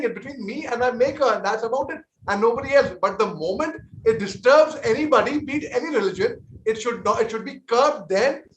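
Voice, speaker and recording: A man's voice: very high voice, a bit bright, roomy sound, slight background noise, rough recording. A man in his forties with an Indian accent, an army veteran speaking as a panelist in a televised debate, earnest and conciliatory, often repeating and restarting his words.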